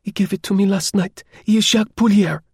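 A man's voice: sits high, steady tone, a little fast, gravelly, darkish tone, breathy edge, no room sound, studio quality, pitched above the performer's own voice, exaggerated voice. A man voicing an impassioned, bold young woman in an audiobook, speaking with breathless urgency. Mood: excited, urgent.

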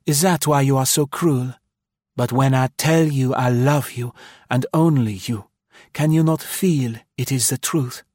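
A man's voice: medium pitch, a little slow, darkish tone, dry recording, studio quality, voice pushed up, natural voice. A man voicing an imperious, passionate young female spy, speaking with heightened emphasis.

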